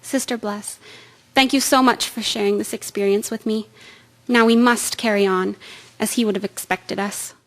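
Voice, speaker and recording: A woman playing a gentle, devoted nursing sister in a radio drama, formal, soothing and composed. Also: medium pitch, fairly even intonation, moderate pace, lightly acted. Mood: tender, solemn.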